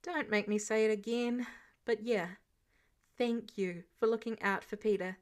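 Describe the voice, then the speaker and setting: A worried, protective male mentor, voiced by a woman in an audiobook story. He is wry and a little gruff as he speaks his dialogue. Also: on the high side, fairly even intonation, unhurried, natural voice. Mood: weary, tender.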